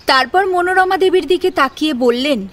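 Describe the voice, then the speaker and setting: A woman's voice: high voice, normal speed, fairly bright tone. A woman narrating a scripted family drama as an audio story.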